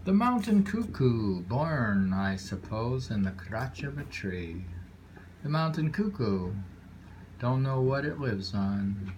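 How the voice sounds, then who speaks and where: Male poetry reader, unhurried and musing, casual asides between haiku, audible noise on a homemade recording. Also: medium pitch, big pitch swings, a little mellow, low-quality recording. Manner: deliberate, pausing, musing between poems.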